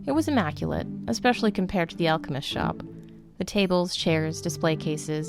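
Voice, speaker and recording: A woman's voice: fairly low pitch, creaky. A woman reading scripted narration for a fantasy story, as a storyteller. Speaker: narrator of a fantasy fiction podcast.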